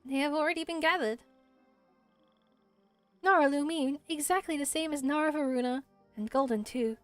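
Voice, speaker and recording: A woman voicing a small, gentle, childlike forest spirit in a video game, solemn and earnest, with quaint, ceremonious phrasing. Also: high voice, moderate pace, dry recording, faint music behind, close to an everyday voice. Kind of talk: amateur voice acting of video game dialogue.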